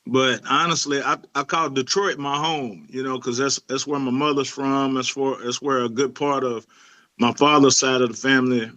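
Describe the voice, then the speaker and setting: A man's voice: medium pitch, steady tone, moderate pace, bright, forceful tone, no echo. A middle-aged man, a youth pastor, talking casually in an interview, laid-back, with many fillers and restarts. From Lebanon.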